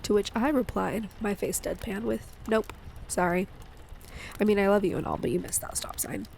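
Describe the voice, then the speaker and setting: A woman playing an affectionate, playful wife and mother in an intimate audio roleplay, speaking in a gentle, teasing way. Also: medium pitch, moderate pace, vocal fry, a little hiss or hum, close to an everyday voice.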